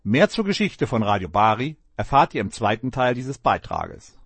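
A man presenting a scripted radio history segment in a friendly, clear way. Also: mid-range voice, very animated, normal speed, over loud music.

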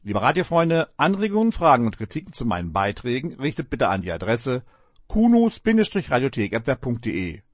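A man presenting a scripted radio history segment in a friendly, clear way. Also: medium pitch, very animated, a little fast, dry recording.

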